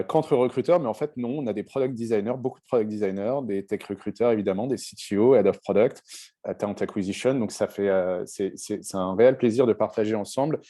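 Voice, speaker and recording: A French man hosting a panel discussion in a warm, mellow, fairly low voice. He talks loosely and hesitantly, restarting phrases and filling pauses as he introduces each topic. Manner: relaxed, hesitant, with frequent fillers and restarts. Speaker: webinar host. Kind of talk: hosting a live panel webinar.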